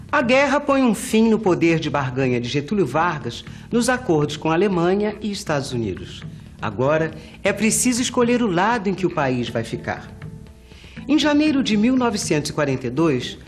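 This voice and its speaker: A man with a Brazilian accent narrates scripted historical events in short, declarative, newsreel-like sentences. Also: sits high.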